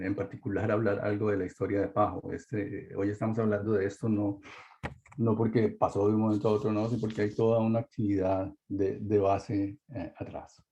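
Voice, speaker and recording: A man presenting a webinar talk, halting with many fillers and restarts, over a video-call mic. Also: lowish voice, mellow. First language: Spanish. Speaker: webinar speaker. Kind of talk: hesitant presentation. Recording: dull lo-fi webinar audio.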